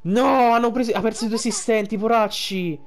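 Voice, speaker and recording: A man's voice: sits high, a little fast. A young adult man giving casual, colloquial, emotionally invested game commentary, with some swearing. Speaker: game streamer.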